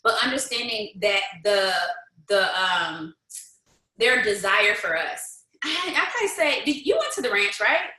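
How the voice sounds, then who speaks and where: A woman's voice: mid-range voice, sing-song, a little slow, bright, forceful tone, roomy sound, poor audio. A woman in her thirties telling stories as a guest, bold and emphatic, laughing often. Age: 30s. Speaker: guest, business leader. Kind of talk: casual storytelling, motivational.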